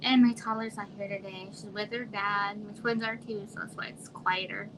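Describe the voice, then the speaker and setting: Soft-spoken chatty woman on a noisy livestream. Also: mid-range voice, fairly even intonation, normal speed. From Arizona, US. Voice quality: quiet voice. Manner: soft-spoken, chatty, trailing off, drops to whispers. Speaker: livestream host. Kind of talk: casual live chat with viewers. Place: home room. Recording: noisy home livestream audio.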